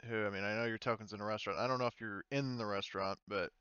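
A man acts as game master in a casual tabletop session. His voice is clear and smooth, recorded close and dry, with slightly dull voice-chat sound. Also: on the low side, fairly even intonation, racing, pushed and bright, no echo.

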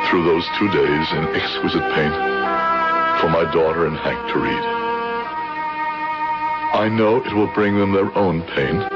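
Deep-voiced, doomed old professor. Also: male voice. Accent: American. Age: older. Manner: grave, solemn. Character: doomed old professor, remorseful father confessing in his manuscript. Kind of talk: 1970s radio drama narration. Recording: dull vintage radio recording with loud music.

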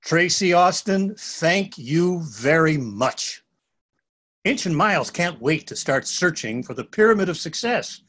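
A man's voice: on the high side, animated, measured pace, a bit bright. A man in his sixties, an author reading scripted narration for a children's story.

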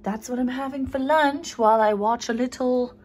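A woman's voice: high-pitched, normal speed, darkish tone, a little hiss or hum. Chatty young woman vlogging at home. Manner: chatty, upbeat, talks to viewers while eating. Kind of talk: casual vlog chat. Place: home kitchen, indoors.

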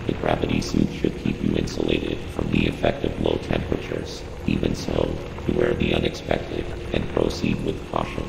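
A man's voice: rumbling low, monotone, moderate pace, creaky, few pauses, full character voice. A man voicing a cold, analytical computer intelligence in a video game, giving formal, emotionless briefings in a synthetic, robot-processed voice. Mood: cold, measured. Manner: formal, clipped, emotionless, instructing. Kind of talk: scripted game mission briefing. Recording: echoing reverberant game audio, loud ambient noise.

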